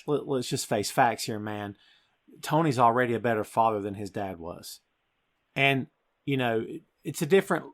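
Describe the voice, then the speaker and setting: A man hosting a film review podcast, talking to listeners in an emphatic, excitable way. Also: mid-range voice. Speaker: podcast host.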